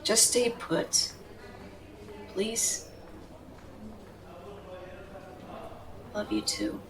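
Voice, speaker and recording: A woman's voice: fairly high pitch, sing-song, very slow, distant mic, over music, heavily acted. A woman playing a fiercely protective, tender tsundere girlfriend in an intimate, emotional roleplay audio, blunt in her affection. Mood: firm, affectionate. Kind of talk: dramatic roleplay audio, intimate second-person acting.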